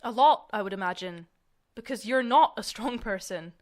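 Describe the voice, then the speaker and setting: A woman's voice: medium pitch, lively intonation, moderate pace, darkish tone, close to an everyday voice. A woman as a cutting, mid-voiced student director. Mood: cold, scornful. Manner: dismissive, sarcastic. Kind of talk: audio drama acting.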